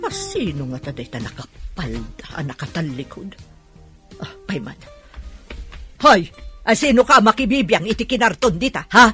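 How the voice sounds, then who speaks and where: A woman's voice: mid-range voice, big pitch swings, normal speed, a bit bright, faint music behind. A woman playing a blustery, teasing factory boss in a comedy radio drama, full of exclamations. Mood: astonished, teasing.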